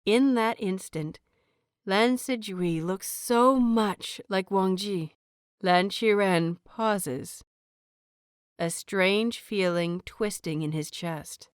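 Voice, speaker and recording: A woman narrating a scripted story as an audiobook, with expressive, deliberate and dramatic phrasing. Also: medium pitch, clean tone, no room sound, clean studio sound.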